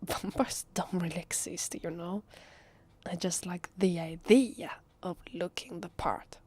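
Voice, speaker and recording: A woman with an Italian accent playing an evasive, flustered female vampire posing as human in an ASMR roleplay, speaking softly and hesitantly in a husky half-whisper. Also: lowish voice, no echo. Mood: playful, denying. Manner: hesitant, slow, drawn-out.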